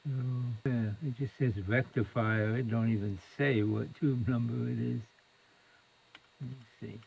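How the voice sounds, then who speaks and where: A man with a gravelly, fry-laden voice talks through a hands-on repair. He takes his time, muttering his thoughts in short fragments as they come. A little background room noise sits behind his mid-pitched voice. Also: fairly even intonation, measured pace, darkish tone, low-quality recording. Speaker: electronics repair hobbyist, presenter. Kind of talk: unscripted hands-on repair commentary.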